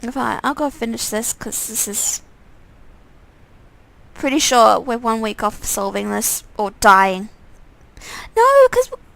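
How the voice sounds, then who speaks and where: A woman's voice: fairly high pitch, sing-song, slow, creaky, long pauses, fairly bright tone. A woman streaming a visual novel game, reading its text aloud casually and sometimes stumbling over phrases and repeating them.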